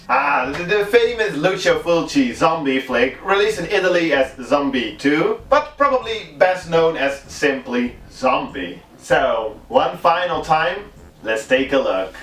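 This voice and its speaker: A man from the Netherlands with a Dutch accent, giving casual, chatty film review commentary in a wry, expressive way. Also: on the high side. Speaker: video host reviewing horror films.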